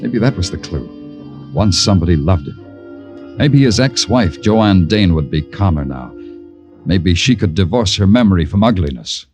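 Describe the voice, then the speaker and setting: An American actor playing a brooding, wry police detective in an old radio crime drama, with slow, deliberate delivery. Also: male voice, deep voice, expressive, over music. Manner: slow, deliberate, hard-boiled noir delivery. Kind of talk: 1940s-50s radio crime drama acting.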